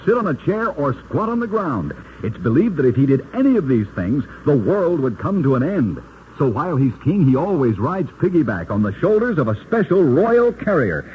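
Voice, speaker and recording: A dull, noisy vintage radio recording carries a man telling curious tales. His voice sits slightly high and swings in pitch with dramatic emphasis. He reads as a scripted narrator, stressing each point.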